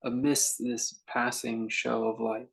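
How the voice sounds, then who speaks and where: A man leading a guided meditation as a teacher, speaking in a contemplative, unhurried way with long pauses between short phrases. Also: mid-range voice, flat delivery, gravelly, a bit bright, rough recording.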